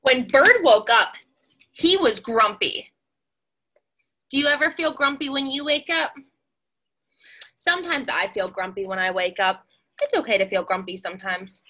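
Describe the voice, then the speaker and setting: A woman's voice: on the high side, lively intonation, unhurried, pauses often, sharp tone. A woman in her twenties reading a picture book aloud to young children, expressively and with questions for them.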